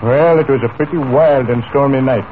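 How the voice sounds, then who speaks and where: A man's voice: mid-range voice, close to an everyday voice. An actor playing a genial, folksy, wealthy oilman in an easygoing 1940s radio style. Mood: grave, intrigued. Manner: easygoing, folksy, deliberate storyteller. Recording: noisy, tinny old radio recording.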